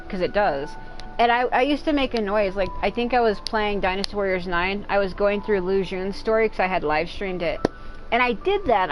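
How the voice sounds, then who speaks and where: A woman's voice: medium pitch, rough recording. Profane rambling woman streamer. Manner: frank and profane, rambling run-on talk; breaks into loud silly outbursts and laughter. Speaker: game streamer. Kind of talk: casual rambling chat while gaming.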